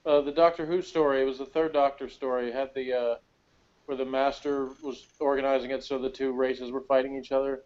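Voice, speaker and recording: A man's voice: medium pitch, little pitch movement, a little fast, darkish tone, lo-fi sound. A man chatting casually as co-host of a sci-fi TV review, rambling and searching aloud for details. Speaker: podcast co-host.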